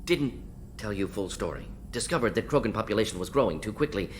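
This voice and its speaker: A man voicing a brisk, clinical alien scientist in a video game, speaking in clipped, telegraphic bursts. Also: fairly low pitch, lively intonation, slight background noise, natural voice. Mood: guarded, clinical. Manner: clipped, telegraphic, omits small words. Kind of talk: video game dramatic dialogue.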